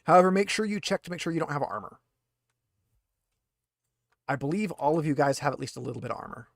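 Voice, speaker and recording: A man's voice: a little high, expressive, quick pace, vocal fry, no room sound, studio quality. A man acting as game master for an online tabletop roleplaying game, explaining things informally.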